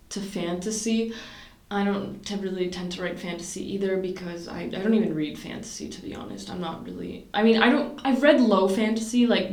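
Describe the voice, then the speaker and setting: A young woman from Canada talking casually to camera about her projects, chatty and rambling with many fillers and restarts. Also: mid-range voice. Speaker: vlogger. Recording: roomy sound, mic at a distance.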